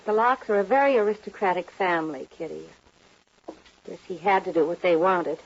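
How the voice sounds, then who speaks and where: An actress playing an ashamed, heartsick young saloon girl in an old western radio drama, her voice husky and breathy. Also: on the low side, fairly quick. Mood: ashamed, confiding. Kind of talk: emotional old-time radio acting. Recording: dull vintage radio recording.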